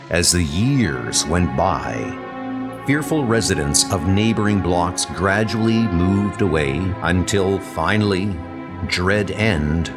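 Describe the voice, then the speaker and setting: A man narrates horror tales in a low, unhurried voice. His delivery is theatrical and suspenseful, with long drawn-out phrases, over prominent music. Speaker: narrator of horror comic stories. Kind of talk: dramatic scripted narration. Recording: music behind the voice. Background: dramatic music.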